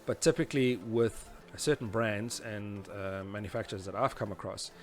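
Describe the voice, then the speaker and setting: A man in his forties giving an informal technical tutorial in a halting, explanatory way. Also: lowish voice, steady tone. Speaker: presenter teaching audio engineering. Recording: music behind the voice. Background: background music.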